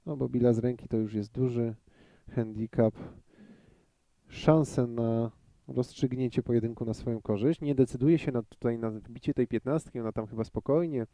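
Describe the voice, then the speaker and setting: A man giving live pool commentary in a measured way, thinking aloud with frequent hesitations. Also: medium pitch, warm tone, no room sound, lo-fi sound.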